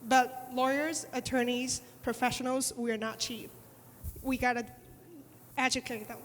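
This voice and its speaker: A woman's voice: fairly high pitch, little pitch movement, measured pace, slight background noise. A woman attorney speaking on a conference panel, emphatic and repeating words as she presses her points. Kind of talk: panel discussion. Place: large conference hall. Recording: conference hall reverb.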